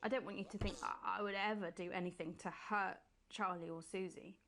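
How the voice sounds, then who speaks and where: A woman's voice: mid-range voice, steady tone, brisk, fairly bright tone, natural voice. A woman doing a posh late-night TV hostess who signs off in a polished, silky tone. Her voice sits in a middle register, and a faint hiss runs under it. Her sign-off slides into creepy, pleading devotion. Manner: polished, then pleading and unsettling. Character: posh smooth-talking female TV presenter, creepy and obsessive. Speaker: presenter.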